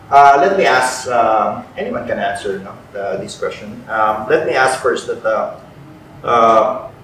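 A middle-aged Filipino man hosts a talk show with a fairly low voice. He speaks haltingly and conversationally, filling gaps as he goes. The sound is very echoey and picked up far from the mic, with faint noise behind. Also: fairly bright tone. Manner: halting, conversational with many fillers. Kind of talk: hosting a talk show interview. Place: indoor room with bare walls. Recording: roomy echo, distant mic, faint noise.

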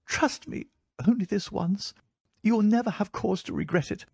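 A man's voice: a little high, sing-song, moderate pace, mellow, husky, no room sound, voice pushed up. A man voicing a frightened, secretive wife in an audiobook, pleading in an urgent, emotional manner. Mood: desperate pleading. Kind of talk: audiobook character dialogue.

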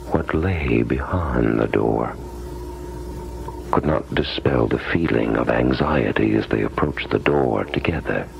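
Very deep, gravelly male horror narrator. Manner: slow, dramatic, menacing delivery. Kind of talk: ominous scripted horror radio narration. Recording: muffled old radio broadcast recording.

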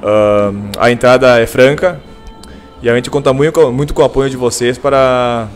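A man, the team captain, inviting fans in a measured, slightly hesitant way at a table mic. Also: medium pitch, fairly even intonation, soft background music.

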